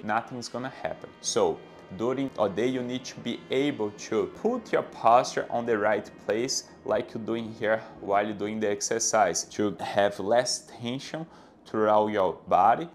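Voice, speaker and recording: A man in his thirties from Brazil with a Brazilian Portuguese accent, a fitness trainer giving exercise instructions to camera and counting reps aloud. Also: mid-range voice. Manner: coaching, counts reps aloud, non-native grammar. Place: bright indoor room with anatomy posters. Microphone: clip-on lapel mic.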